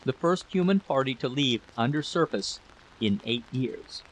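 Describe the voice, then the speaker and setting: A man's voice: mid-range voice, animated, measured pace, lightly acted. A man voicing a brusque, suspicious project leader in a story reading, curt and commanding, on a noisy recording.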